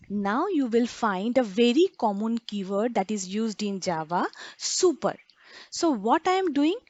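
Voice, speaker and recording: A woman's voice: a little high, dry recording. A woman instructor giving an explanatory lecture in an emphatic, didactic way, often posing questions and answering them herself. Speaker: programming instructor.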